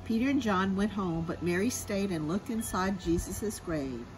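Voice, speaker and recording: A middle-aged woman, a preschool teacher, reads a Bible story aloud to young children in a simple, expressive storytelling manner. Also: mid-range voice, normal speed, slight background noise, rough recording. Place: outdoors in a sunny grassy backyard. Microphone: camera a short distance away.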